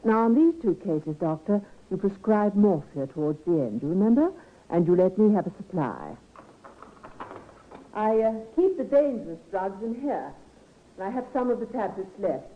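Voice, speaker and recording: An actress playing a brisk, bossy, dutiful nurse in an old-time radio drama, firm and no-nonsense. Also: female voice, mid-range voice, sing-song. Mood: professional, deferential. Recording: muffled vintage radio recording.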